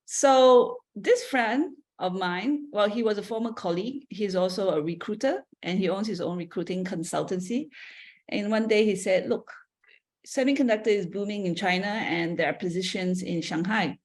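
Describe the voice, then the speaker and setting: A woman's voice: mid-range voice, normal speed, darkish tone. A woman in her forties from Malaysia, speaking as a guest at a seminar and telling her life story in a conversational way, with many fillers and self-corrections. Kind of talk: personal talk to an audience.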